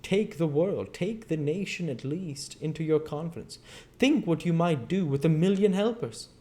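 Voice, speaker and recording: A man voicing a wary gentleman in a storybook reading, protesting earnestly and reasoning with urgency. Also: on the high side, animated, normal speed, pitched above the performer's own voice, close to an everyday voice. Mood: urgent protest.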